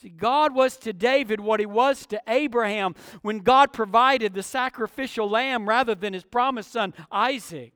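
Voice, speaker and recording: High-pitched male preacher, dry sound. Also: moderate pace, hardly any pauses, a bit bright, no echo, big-room sound. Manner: emphatic, repetitive phrasing for effect. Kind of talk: expository sermon.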